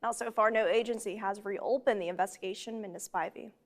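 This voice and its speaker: A woman's voice: mid-range voice, fairly even intonation, a little fast. A woman giving a live TV news report as a reporter.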